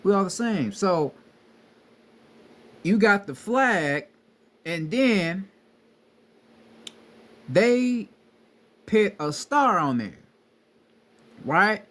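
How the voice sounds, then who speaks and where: High-pitched man preaching with profanity. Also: animated, very slow, long pauses, a bit bright. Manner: profane, repetitive, emphatic. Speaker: spiritual teacher. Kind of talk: casual street-style lecture.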